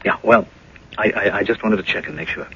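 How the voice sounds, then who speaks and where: An actor playing a polite, uneasy middle-aged husband in a radio drama, hesitant and reassuring. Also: male voice, lowish voice, flat delivery, fairly quick, sharp tone, a little hiss or hum. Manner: polite, hesitant, repeats himself. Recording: dull, lo-fi old radio recording.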